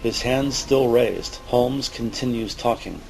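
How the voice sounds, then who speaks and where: A man narrating a scripted audio drama in a measured, dramatic manner. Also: mid-range voice, gravelly, darkish tone, soft background music, low-quality recording.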